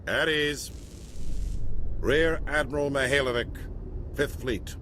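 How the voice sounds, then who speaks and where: A man playing a gruff, disapproving older navy rear admiral in a video game, brusque and skeptical. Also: medium pitch, steady tone, slow, pushed and bright, slight background noise. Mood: stern. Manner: brusque, skeptical, clipped military delivery.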